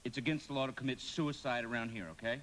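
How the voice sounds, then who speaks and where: A simple, jittery bridge keeper acted by a man in a live radio drama. He talks bluntly and very quickly, with clear noise around the recording. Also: mid-range voice, fairly even intonation, fairly bright tone, natural voice. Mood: puzzled, blunt. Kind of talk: live radio play acting. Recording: noisy live stage recording.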